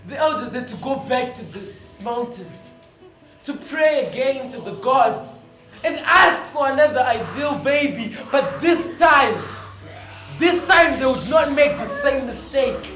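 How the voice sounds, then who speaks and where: A woman tells a fable in a high, deliberate voice, pausing for dramatic weight. She speaks slowly, as a stage storyteller would. The recording is very echoey, caught from far off with music behind. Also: animated, fairly bright tone. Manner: dramatic, deliberate, with repetition and long pauses. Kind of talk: theatrical scripted narration of a fable.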